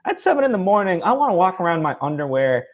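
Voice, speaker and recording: Halting, earnest man, slightly muffled sound. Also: high voice, very animated. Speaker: interview host. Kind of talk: casual one-on-one interview conversation.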